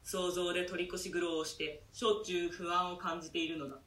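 A man's voice: sits high, level tone, bright, forceful tone, roomy sound, far from the mic. A man playing a polite, deferential jailer who speaks in formal, servile language. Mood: reflective. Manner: formal servile speech, measured.

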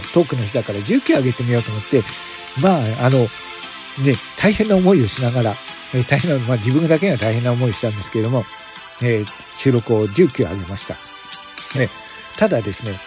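Male podcast host, polite and formal wording in casual announcements, animated pitch movement, prominent background music. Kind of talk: casual announcement talk to listeners.

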